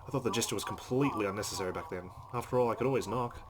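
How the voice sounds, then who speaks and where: A man reads visual novel narration aloud as a let's play host. His voice is a little low and he moves along quickly, dropping in asides. Loud noise runs behind him throughout.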